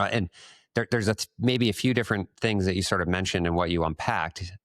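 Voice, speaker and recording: Very low-voiced man, halting delivery. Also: fairly bright tone, no room sound, clean studio sound. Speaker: podcast co-host asking questions. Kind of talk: casual business podcast conversation.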